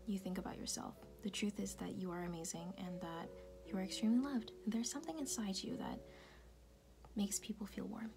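A woman speaking in a soft, breathy, often unvoiced whisper, tenderly reassuring the listener in an intimate ASMR roleplay. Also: mid-range voice. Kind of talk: ASMR personal-attention roleplay, comforting affirmations. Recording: music playing behind the voice. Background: music.

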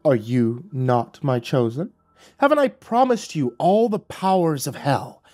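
A man voicing a cruel, mocking male devil in an audiobook, with a taunting, drawn-out delivery. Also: on the high side, very animated, darkish tone, voice pushed up. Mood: menacing. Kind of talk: audiobook character voice.

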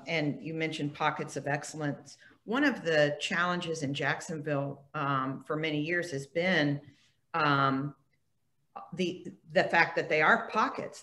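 Middle-aged woman, interview host; low pitch, measured and hesitant questioning on a video call. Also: a bit bright. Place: furnished office room, indoors. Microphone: shared video-call mic at a distance.

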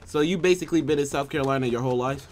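A man's voice: medium pitch, rapid-fire. A young man streaming a game from his bedroom, chatting playfully and asking teasing questions. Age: young adult. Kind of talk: casual gaming chat. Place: small bedroom, indoors.

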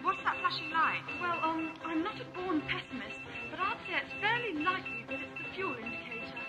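A woman's voice: extremely high pitch, expressive, a little fast, rough recording. A woman playing an anxious, wry space traveller in a science-fiction audio drama, hesitant and dryly understated. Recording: loud music underneath.

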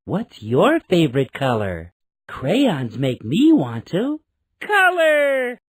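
A woman's voice: on the low side, wildly swooping pitch, slow pace, heavy background noise, full character voice. A woman voicing a cheerful, childlike talking cartoon face that hosts a children's counting game in simple, singsong, encouraging phrases. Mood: delighted. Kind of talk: slow, playful preschool game prompts.

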